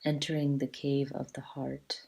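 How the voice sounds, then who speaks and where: A woman teaching a guided yoga relaxation in a soothing, measured way, leaving long pauses between short phrases. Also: a bass voice, little pitch movement, vocal fry, a little mellow, slightly rough.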